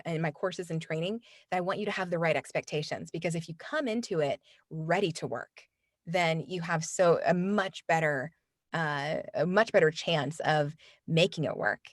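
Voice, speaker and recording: A woman's voice: fairly low pitch, moderate pace, no room sound, clean studio sound. Earnest woman voiceover coach. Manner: earnest, many restarts and fillers. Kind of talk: casual interview talk. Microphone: studio microphone.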